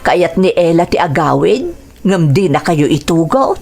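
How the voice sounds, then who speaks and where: Actress voicing older mother, stern, protective, slow low delivery, radio drama. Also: animated, gravelly, a little mellow, soft background music, exaggerated voice. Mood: grave, advising.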